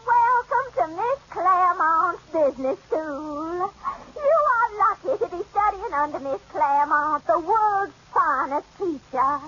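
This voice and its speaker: A woman's voice: very high voice, expressive, measured pace. An actress in a 1940s radio comedy playing a pompous, bossy woman who runs a business school and lectures her students grandly. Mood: haughty. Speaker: secretarial school teacher. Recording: muffled old radio broadcast, faint hiss.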